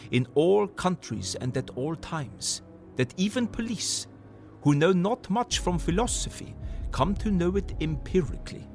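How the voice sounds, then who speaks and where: An actor playing an older, earnest professor in an audio drama, lecturing emphatically in quaint broken English. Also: male voice, mid-range voice. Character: older male foreign professor, earnest, fervent, philosophical lecturer. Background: music.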